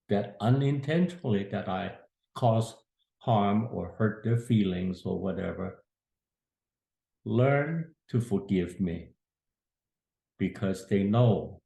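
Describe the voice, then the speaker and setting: A man's voice: lowish voice, gravelly, mellow. A man in his eighties telling a family story from his youth, plain-spoken and earnest. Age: 80s. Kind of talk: casual spoken memoir. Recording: echoey room.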